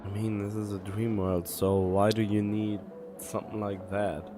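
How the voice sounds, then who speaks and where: A man streams a game with casual, blunt commentary. His voice is on the low side and he muses aloud. Game music plays clearly behind him. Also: little pitch movement, normal speed. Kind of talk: casual live gameplay commentary.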